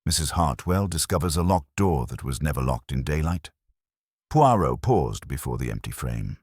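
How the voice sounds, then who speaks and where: A man narrates a detective story in a steady, formal way. His voice is very deep yet lively in pitch. The recording is close and dry. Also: moderate pace, husky, no room sound. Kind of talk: scripted mystery narration.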